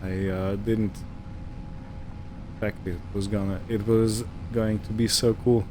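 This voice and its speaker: A man's voice: on the low side, flat delivery, slow pace, darkish tone, slight background noise, low-quality recording. A man giving casual, musing gameplay commentary, hesitant and thinking aloud as he goes. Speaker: gaming video host.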